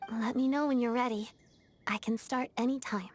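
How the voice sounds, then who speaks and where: A woman voicing an earnest, disciplined young ballet dancer in a video game, speaking in a composed, formal and measured way. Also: a little high, fairly even intonation, slight background noise, lightly acted. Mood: earnest, polite. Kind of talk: video game character voice acting.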